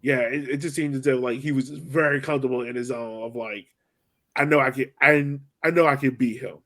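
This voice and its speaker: A man's voice: medium pitch, fairly even intonation, brisk, a bit bright. A man co-hosting a soccer podcast, talking through a match in an informal, slangy way full of "like". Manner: informal, slangy, filler-heavy with frequent "like". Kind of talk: casual chat, sports match recap.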